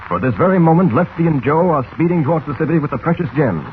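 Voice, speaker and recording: A man's voice: on the high side, animated, fast talker. A man narrating an old radio serial in a dramatic, scripted style. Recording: tinny, hissy old radio recording.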